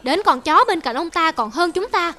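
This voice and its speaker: A woman's voice: extremely high pitch, expressive, normal speed, sharp tone, exaggerated voice. A woman voicing an aggrieved poor villager in a cartoon folktale, bitter and complaining. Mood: indignant. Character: aggrieved poor male villagers under a tyrant.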